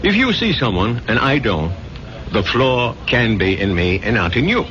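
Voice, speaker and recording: An actor in a 1970s radio drama playing a plain-talking confidant who serves drinks, colloquial and philosophical. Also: male voice, medium pitch, sing-song, normal speed, close to an everyday voice. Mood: easygoing, musing. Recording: dull old radio recording, crowd behind.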